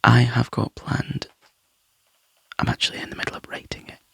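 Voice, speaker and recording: A Scottish man chatting to viewers in a soft, rambling ASMR whisper, close to the mic. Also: moderate pace, dry recording. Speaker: ASMR YouTuber.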